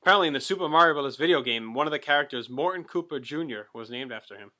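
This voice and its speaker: A man talking casually as a podcast co-host, in a relaxed back-and-forth retrospective chat. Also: on the high side, rapid-fire.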